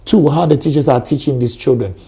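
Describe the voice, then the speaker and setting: A middle-aged Ghanaian man with a Ghanaian accent, a guest on a talk show, telling stories in an animated way. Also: medium pitch, brisk, creaky, breathy edge. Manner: animated storyteller, acts out sounds and quotes. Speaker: guest, educator. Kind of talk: studio talk show conversation.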